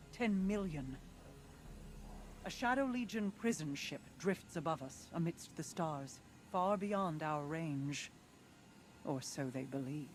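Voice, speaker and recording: Video game mystic guide played by an actor: otherworldly, solemn, commanding, oracular phrasing, mid-pitched, music underneath. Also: lightly acted. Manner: formal, measured, oracular. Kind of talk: game voice acting, grand solemn pronouncement.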